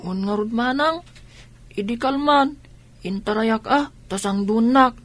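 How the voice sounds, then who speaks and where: A woman's voice: fairly high pitch, unhurried, lightly acted. A woman with an Ilocano accent playing a timid little orphaned child in a radio comedy drama, childlike and a bit whiny. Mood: uneasy.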